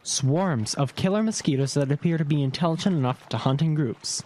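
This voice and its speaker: Casting: male actor as matter-of-fact boy, lightly high voice, radio play, clear background noise. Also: a little fast, a little mellow, close to an everyday voice. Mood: wry, insistent. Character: level-headed, wry boy on an adventure. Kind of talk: audio drama acting.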